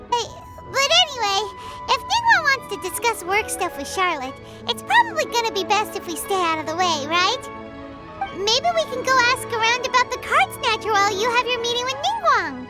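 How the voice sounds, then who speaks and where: A woman voicing a small, chatty fairy companion in a video game, childlike and animated. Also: normal speed, over loud music. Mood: chatty, casual. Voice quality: squeaky, childlike. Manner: speaks of self in third person, animated. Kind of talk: video game character dialogue.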